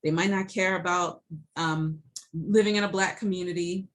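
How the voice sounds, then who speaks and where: A woman's voice: lowish voice, fairly even intonation, normal speed. A middle-aged woman, a college professor, giving a recorded lecture over slides in a conversational way, with many ums and restarts.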